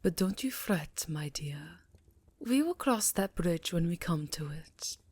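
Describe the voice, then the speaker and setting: A woman playing an elegant, affectionate yet guarded vampire countess in intimate roleplay ASMR, with a formal, theatrical, breathy murmur. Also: on the low side, expressive, slow pace, darkish tone, no echo, exaggerated voice. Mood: tender, flirtatious. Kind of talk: roleplay ASMR acting, intimate address to listener.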